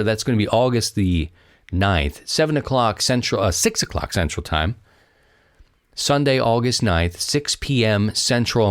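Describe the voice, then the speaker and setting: A man with a low, deep voice talks on his own as a podcast host. His delivery is casual and conversational, rambling along as he speaks straight to his listeners. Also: dry recording, studio quality. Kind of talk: casual solo podcast talk.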